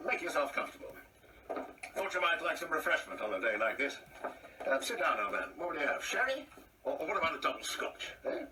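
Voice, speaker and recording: An actor playing an ageing English gentleman in a radio play, pompous, brusque and uneasy, rambling in clipped phrases. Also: fairly high pitch. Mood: hearty, hosting. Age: older. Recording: distant mic, faint background noise.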